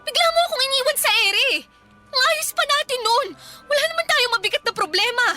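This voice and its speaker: A heartbroken young woman, pleads with an ex she cannot let go of. Her voice sits extremely high and rings sharp and intense, full of clingy indignation. Soft music plays underneath. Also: brisk. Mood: hurt, tearful. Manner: pleading, emotional, dramatic. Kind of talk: Filipino radio drama acting. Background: background music.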